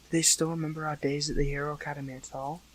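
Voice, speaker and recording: Man acting a high-voiced, conflicted young hero. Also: little pitch movement, very fast, close to an everyday voice, low-quality recording. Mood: weary, anguished. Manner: stammering, pleading, breathy outbursts. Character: captured young male hero, earnest, conflicted, lovelorn. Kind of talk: dramatic audio roleplay acting.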